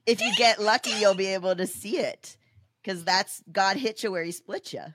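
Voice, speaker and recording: A woman's voice: mid-range voice, normal speed, noisy background. A woman co-hosting a comedy podcast, telling anecdotes in a colloquial, teasing, slangy way. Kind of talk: casual comedic chat and anecdote.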